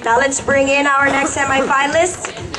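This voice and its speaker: A woman hosting a live pageant question round, briskly announcing contestants and stretching out their names. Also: high voice, flat delivery, over music. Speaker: pageant host. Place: live event stage at a mall. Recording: live event with crowd noise. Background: audience crowd.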